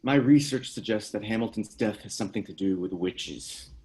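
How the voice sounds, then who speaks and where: A man playing an earnest, uneasy researcher of dark lore in a screenplay table read, explaining things hesitantly. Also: on the low side, normal speed, natural voice. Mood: hesitant, grave.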